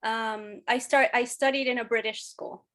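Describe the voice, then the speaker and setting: A woman's voice: fairly high pitch, steady tone, normal speed, fairly bright tone. A woman from Chile in her thirties, speaking as a podcast guest. She talks candidly and at length, often restarting with "you know". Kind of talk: casual interview conversation.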